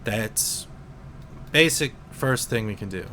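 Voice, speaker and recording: Slow-talking young man teaching code. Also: lowish voice, slight background noise. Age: 20s. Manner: slow, relaxed explaining with fillers. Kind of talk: screen-recorded coding tutorial.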